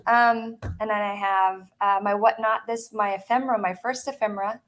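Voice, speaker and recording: A woman's voice: medium pitch, steady tone, normal speed, a bit bright. A woman co-hosting a casual reseller chat, bubbly and gushing, laughing often. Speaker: co-host of a reseller chat show.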